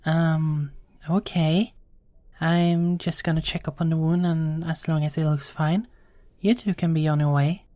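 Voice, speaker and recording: Kind bedside nurse for audio drama, female actor: lowish pitch, soothing, courteous, dry close sound. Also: fairly even intonation, measured pace, no echo. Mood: gentle, polite. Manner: gentle, polite, soothing. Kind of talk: audio roleplay acting.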